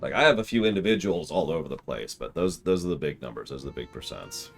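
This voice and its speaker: A man's voice: a bass voice, expressive, fast talker, creaky, music in the background. A man streaming a game, chatting casually with viewers and rambling in a self-deprecating way. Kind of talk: casual live-stream chat while gaming.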